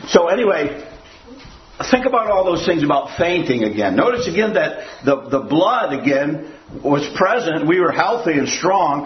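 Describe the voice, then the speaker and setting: A man's voice: a little high, roomy sound, highs cut off. An older man preaching a sermon to a church audience, rambling and folksy, with a habit of repeating himself.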